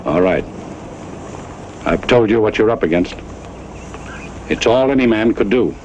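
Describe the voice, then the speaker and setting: Casting: proud old American rancher, radio Western. Grave, plain-spoken, on the low side, muffled recording with faint noise. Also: male voice, expressive, unhurried, a little mellow. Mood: resigned dignity. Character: stern, proud old cattle baron of the range. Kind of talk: Western radio drama acting.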